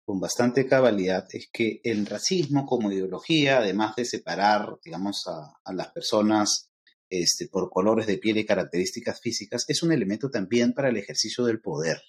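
A man with a Peruvian accent, a guest lecturer explaining ideas thoughtfully in a podcast interview, often pausing on fillers. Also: fairly low pitch. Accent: Peruvian Spanish. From Peru.

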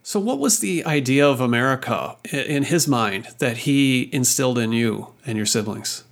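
Casual podcast host, stumbling questions. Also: male voice, mid-range voice, moderate pace, vocal fry. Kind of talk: podcast interview.

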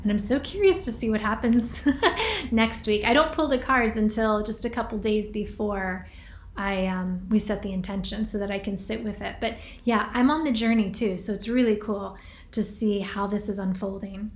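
A woman hosting a reflective podcast, talking casually and gently to her listeners and often checking in with "right?" and "you know.". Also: medium pitch, moderate pace, echoey room. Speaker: podcast host and workshop leader. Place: staying in a rented home.

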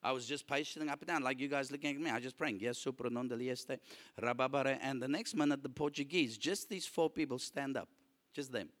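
A man whose first language is Afrikaans, preaching a lively sermon and calling out to his congregation for responses. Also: medium pitch, a little fast. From South Africa. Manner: animated, calls for audience response.

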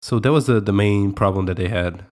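Portuguese-accented man, low voice, easygoing. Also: brisk. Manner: relaxed, hesitant, laughs often. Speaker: podcast co-host. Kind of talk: casual chat.

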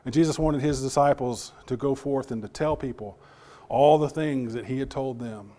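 A man preaching a sermon to his congregation, his voice partly lost and still recovering, talking in a halting way with repeated words and questions to the listeners. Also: medium pitch, warm tone.

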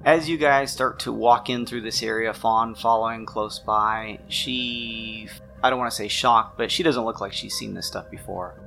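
A man's voice: fairly low pitch, normal speed, gravelly, sharp tone. A man narrating a tabletop mystery game as game master, in a conversational, descriptive way. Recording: music playing behind the voice. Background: background music.

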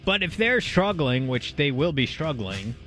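A man's voice: a little high, big pitch swings, measured pace, pushed and bright, faint music behind. A man co-hosting a sports talk show, giving blunt opinions in casual conversation. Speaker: sports talk radio co-host.

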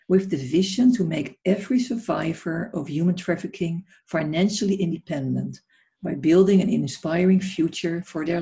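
A woman's voice: on the low side, gravelly. A woman hosting an interview podcast, introducing her guest and asking questions in an admiring, conversational way. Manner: warmly admiring, conversational with restarts. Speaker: podcast host.

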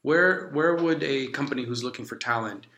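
A man moderates a panel, feeling his way through long questions with pauses and restarts. His voice is bright and crisp at a middling pitch. The room adds a clear echo. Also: slight background noise. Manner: thoughtful, searching questions with restarts. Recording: echoey room.

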